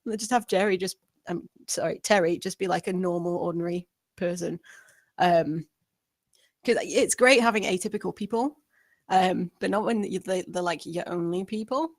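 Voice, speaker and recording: A woman giving casual feedback as a panelist, friendly, rambling and softening her points. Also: on the low side, normal speed, no echo, studio quality. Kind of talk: casual chat.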